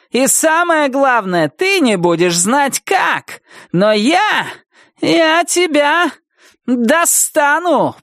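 A woman voicing a spiteful, furious middle-aged woman who threatens slowly and deliberately. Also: high voice, dry recording, clean studio sound, pitched above the performer's own voice, exaggerated voice. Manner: slow, deliberate, seething menace. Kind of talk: audiobook character voice.

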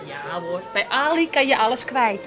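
Decisive motherly woman, plain-spoken, coaxing, slightly high pitch, lively intonation, radio play. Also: moderate pace, crowd noise. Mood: soothing. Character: motherly, decisive Amsterdam coffee shop woman. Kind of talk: 1980s comic radio play acting.

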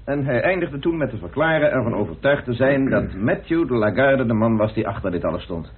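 Formal club chairman, very husky, lively intonation, radio drama, tinny old recording. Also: medium pitch, fairly quick. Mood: probing. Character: businesslike chairman of an amateur investigating club. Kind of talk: radio play acting.

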